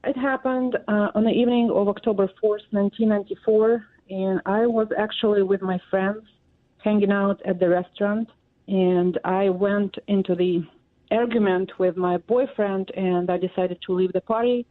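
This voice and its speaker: A woman in her forties with a Russian accent, a native Russian speaker giving halting answers with many pauses and fillers. She is heard over a thin, tinny phone line that cuts off the lows and highs, and her pitch sits in a middle range for a woman. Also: steady tone. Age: 40s. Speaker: guest. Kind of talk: interview answers.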